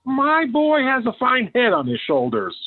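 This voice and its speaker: Meek henpecked husband, old radio comedy, slow and hesitant, sounds like thru a phone. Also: male voice, very high-pitched, huge pitch swings, narrow, tinny audio, full character voice. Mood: affronted. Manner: hesitant, halting. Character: hesitant, henpecked middle-aged husband, mild but proud father. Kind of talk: 1940s radio sitcom acting. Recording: thin, band-limited old radio recording.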